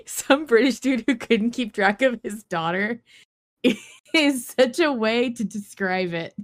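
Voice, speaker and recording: A woman's voice: fairly high pitch, lively intonation, slow, pauses often, no room sound. A woman hosting a casual fan podcast, talking in an effusive, gushing way. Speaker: podcast host.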